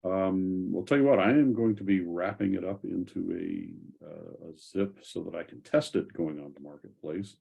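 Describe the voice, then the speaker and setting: Very deep, gravelly man hosting. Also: moderate pace, few pauses, muffled recording. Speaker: host of a community call. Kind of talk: casual video call discussion.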